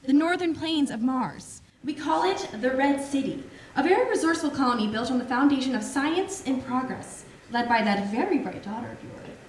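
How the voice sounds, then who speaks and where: A woman's voice: high voice, lively intonation, normal speed, few pauses. An actress in a staged reading playing a reassuring, upbeat woman doctor of a Martian colony. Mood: proud, welcoming. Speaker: musical theatre actress. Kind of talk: staged reading, theatrical dialogue. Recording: echoey theatre, distant mic, faint noise.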